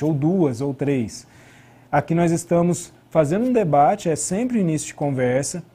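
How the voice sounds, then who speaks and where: A man's voice: fairly high pitch, warm tone, breathy edge. A middle-aged Brazilian man giving an online academic lecture as a historian, explaining through rhetorical questions. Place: indoor studio at a desk.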